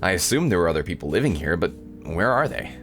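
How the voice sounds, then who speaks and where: A man's voice: deep voice, big pitch swings, fast talker, a bit bright, soft background music, full character voice. A man voicing a sardonic, disappointed young male protagonist, narrating a visual novel in a dry, incredulous way. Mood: resigned.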